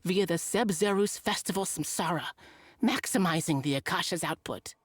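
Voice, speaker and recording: A woman's voice: on the low side, normal speed, natural voice. Earnest female scholar for a fantasy game, troubled, expository delivery acted by a woman. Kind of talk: video game voice acting.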